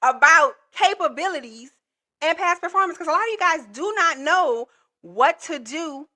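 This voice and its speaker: A woman coach teaching viewers on a livestream, high-energy and playful, talking straight to her audience. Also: animated, normal speed, bright, forceful tone. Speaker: government contracting coach.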